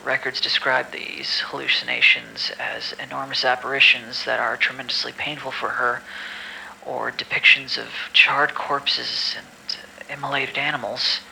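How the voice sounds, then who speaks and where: A man acts out an irritable, cynical records officer in an audio drama. His voice is very bright and forceful with a gravelly creak, dry and formal when reading and prone to exasperated grumbling. There is faint noise behind him. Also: mid-range voice, level tone, a little slow, full character voice, poor audio. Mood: formal, clinical. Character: irritable, cynical male staffer recording medical files. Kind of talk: amateur audio drama acting.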